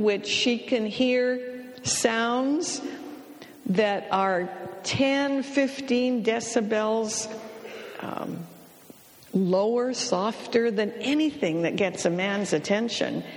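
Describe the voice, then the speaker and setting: A woman counselor giving an advice talk to an audience, speaking in a dry, wry and matter-of-fact way and gently teasing the men. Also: fairly high pitch, very slow. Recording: long reverb of a hall.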